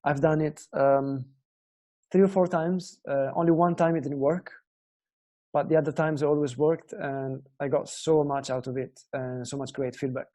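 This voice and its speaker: A man's voice: on the high side, steady tone, moderate pace, warm tone. A young man from Italy with an Italian accent, teaching guitar in an earnest, explanatory way and often asking viewers questions. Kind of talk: online guitar lesson talk.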